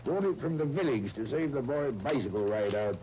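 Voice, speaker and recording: Grumbling, affectionate English uncle, played by a man: older, blustering, warm low-lit timbre, vintage radio serial with surface noise. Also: lively intonation, brisk, dull audio, lightly acted. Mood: fond grumbling. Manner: gruff, old-fashioned exclamations. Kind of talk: 1930s radio serial acting. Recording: noisy 1939 radio transcription disc.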